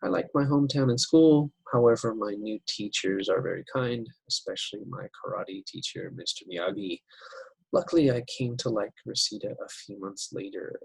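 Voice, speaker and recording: A man teaching an English writing lesson over slides, unhurried and step by step, with many fillers. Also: fairly low pitch, vocal fry, husky, dry recording, poor audio.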